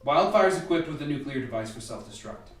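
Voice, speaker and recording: A man acting in an amateur radio play as a calm, instructive scientist briefing a lab team with measured delivery. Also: fairly high pitch, close to an everyday voice. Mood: grave, explanatory. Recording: echoey room, distant mic, faint music.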